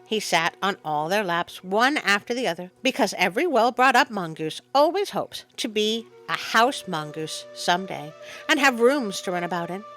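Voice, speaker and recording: A woman reading a story aloud in an expressive, dramatic storytelling style. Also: mid-range voice, normal speed, faint music behind. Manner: dramatic read-aloud, with interjected asides. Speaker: storyteller, show host. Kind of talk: expressive scripted story narration.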